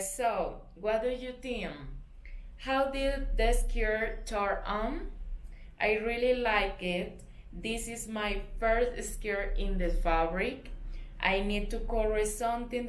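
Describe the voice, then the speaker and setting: Slow, careful Colombian woman, roomy echo. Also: mid-range voice. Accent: Colombian Spanish. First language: Spanish. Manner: careful, hesitant non-native English with fillers and apologies. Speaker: sewing tutor. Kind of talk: sewing tutorial narration.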